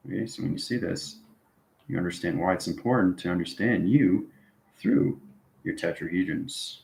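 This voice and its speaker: Male online instructor, lowish pitch, informal and repetitive, keeps checking in with listeners; roomy, echoing sound. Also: gravelly, a bit bright. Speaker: online teacher of a spiritual live stream. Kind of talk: informal live lesson to viewers.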